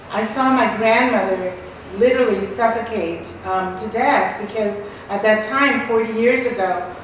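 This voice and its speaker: Woman, medicine professor, passionate, articulate, far from mic, very echoey. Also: medium pitch. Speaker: associate professor of preventive medicine. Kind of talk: interview answers. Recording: echoey, distant mic, faint noise.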